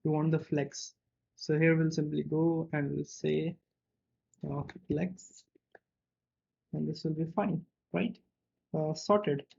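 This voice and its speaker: A man presenting a casual screencast coding tutorial, thinking aloud step by step in a halting way with little check-in tags. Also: a little high, steady tone, creaky, a little mellow, breathy edge. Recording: dull, muffled lo-fi audio.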